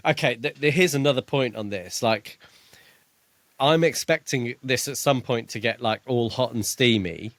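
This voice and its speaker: A man's voice: lowish voice, a bit bright, no room sound. A man co-hosting a podcast in a casual book discussion, thinking aloud in a tentative way. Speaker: podcast co-host.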